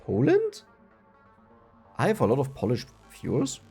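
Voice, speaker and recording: A man's voice: mid-range voice, huge pitch swings, a little slow, gravelly, warm tone, slightly rough, faint music behind. A young man from Germany with a German accent, chatting casually and laughing at himself while commenting on a video game. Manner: chatty, self-mocking, laughs often. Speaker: gaming YouTuber.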